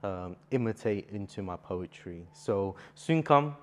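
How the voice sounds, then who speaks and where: A man's voice: fairly low pitch, expressive, a little slow, a little hiss or hum. A young man from Bulgaria, a native Bulgarian speaker, talking earnestly as a poet guest in a casual interview.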